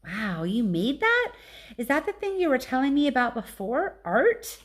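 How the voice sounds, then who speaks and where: A woman's voice: high-pitched, very animated, normal speed, a little hiss or hum, voice pushed up. A woman voicing an eager, curious young female baby elephant in a storybook, chatty and full of breathless questions. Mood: amazed.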